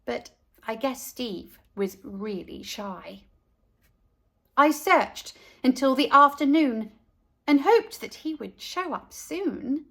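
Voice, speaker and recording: Woman doing a cheeky tiny girl telling her storybook, high voice, unhurried, all innocent and dramatic. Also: animated, fairly bright tone, full character voice. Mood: puzzled. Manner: innocent, sing-song, dramatic. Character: cheeky, self-assured three-year-old girl narrating her mischief. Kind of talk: rhyming storybook narration.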